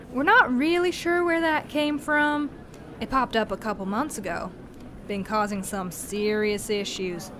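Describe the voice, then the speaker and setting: A woman's voice: medium pitch, background noise, lightly acted. A woman playing a genial, long-winded, folksy male cowboy posse leader in a western audio drama. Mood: grumbling, folksy. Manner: rambling, folksy, theatrical storytelling.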